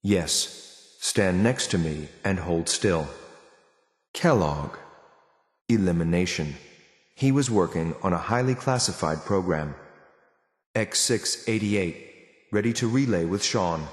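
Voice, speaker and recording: A man's voice: sits low, lively intonation, slow pace, long pauses, slightly rough, dry recording, clean studio sound, full character voice. A man voicing a stern, loyal synth soldier for a video game, speaking in a clinical, coldly formal, clipped military manner. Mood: curt, businesslike. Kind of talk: scripted video game companion voice lines.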